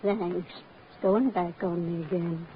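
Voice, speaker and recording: A woman's voice: fairly low pitch, fairly even intonation, slow pace. An actress playing a brassy, grasping rooming house landlady with sly, slangy talk in an old radio drama. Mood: sly, mercenary. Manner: sly, slangy, uneducated grammar. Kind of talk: old-time radio acting. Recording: muffled, noisy old radio recording.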